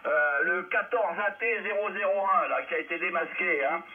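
A tinny shortwave signal carries a man with a high voice. He is an amateur radio operator who argues like a lecturer, hesitating often and punctuating his phrases with little tag words. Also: normal speed. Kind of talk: argumentative radio chat. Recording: tinny, narrow-band shortwave radio transmission.

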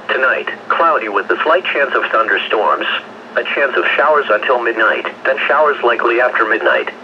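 A man's synthetic, measured, impersonal voice reading a scripted forecast as a weather radio announcer. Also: medium pitch. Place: radio speaker picked up by camera in room. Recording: dull lo-fi weather radio, noisy.

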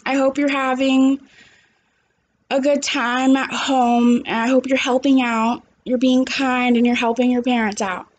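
A woman's voice: high voice, little pitch movement, a little slow, muffled recording, a little hiss or hum. A young adult woman, a teacher, reading a story aloud and chatting to children, recorded at home on a computer's microphone. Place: small living room at home, indoors.

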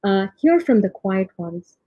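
A soft-spoken woman in her thirties from India gives a reflective leadership talk from home over a video call, with frequent ums and restarts. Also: mid-range voice, very animated, a little slow, slight background noise. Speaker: tech leader giving a conference talk. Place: home room, remote talk. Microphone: laptop or webcam mic, video call. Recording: tinny, husky dry video-call audio.

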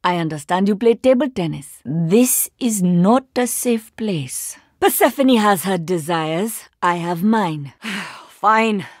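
A composed and resolute video game heroine, an archer with a scientist's precision. She speaks formally and carefully at a medium pitch, in a very dry recording. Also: lively intonation, no echo. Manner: formal, measured, precise wording with few contractions. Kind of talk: video game character voice lines.

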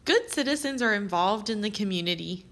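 A woman reading aloud as a teacher, with expressive, carefully phrased delivery aimed at young children. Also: medium pitch, brisk. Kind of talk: children's read-aloud narration.

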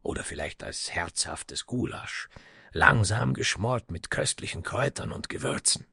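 A man voicing a gruff dwarf warrior in a fantasy audio story, scheming with dark relish in a gravelly, husky voice. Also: fairly low pitch, lively intonation, measured pace, dry recording, clean studio sound, full character voice. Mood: sinister glee.

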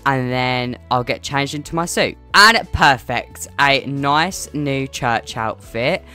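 A woman's voice: rumbling low, slow pace, sharp tone, soft background music, full character voice. A woman playing a chatty, slightly awkward father who rambles through a family roleplay game.

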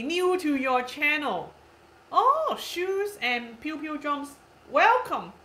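A woman's voice: high voice, bright, forceful tone, a little hiss or hum. A woman in her thirties whose first language is Cantonese, hosting a casual live stream, reading comments aloud, giggly and repeating herself. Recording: echoey room.

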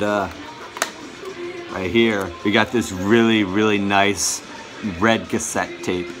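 A young man giving a casual show-and-tell to camera, halting and often correcting himself. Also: fairly low pitch. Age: young adult. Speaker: vlogger showing a music collection. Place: small bedroom, indoors.

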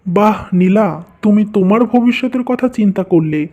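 A devoted young husband from a family cartoon, played by a man. His voice is mellow and rounded, and he talks earnestly and dutifully. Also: high voice, normal speed, lo-fi sound. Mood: indignant.

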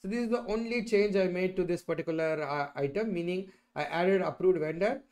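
A man's voice: high voice, brisk, darkish tone. A middle-aged man presenting an explanatory screen-share tutorial, often checking in with "right?" and "okay". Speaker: instructor presenting a software tutorial. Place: indoors, at a computer.

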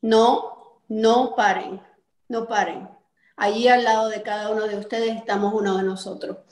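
A woman's voice: medium pitch, fairly even intonation, normal speed, darkish tone. Echoey middle-aged woman on a call. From Cuban American from Miami. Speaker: interview guest, artist and activist. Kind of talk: conversational interview answers. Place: bright indoor room, on a video call. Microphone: video call, sitting back from the camera. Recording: echoey room over video call.

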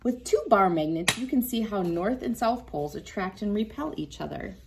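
A woman's voice: medium pitch, lively intonation, moderate pace, background noise. A woman in her thirties reads a picture book aloud to young children, instructing them and adding casual asides as she demonstrates. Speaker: children's read-aloud host. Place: small wood-panelled room indoors.

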